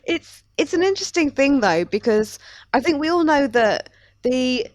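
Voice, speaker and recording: A woman's voice: high voice, animated, moderate pace, no echo. A woman hosting a podcast, talking casually in a chatty, self-deprecating way. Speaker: podcast host.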